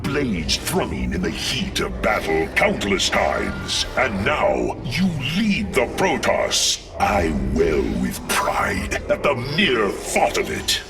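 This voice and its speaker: A deep, gravelly alien war veteran. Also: male voice, wildly swooping pitch, slow pace, hardly any pauses, distant mic, heavily acted. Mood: proud, loyal. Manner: booming, archaic, declamatory. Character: proud veteran alien warrior revived as war machine. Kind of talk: dramatic game cinematic acting. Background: clear cinematic music.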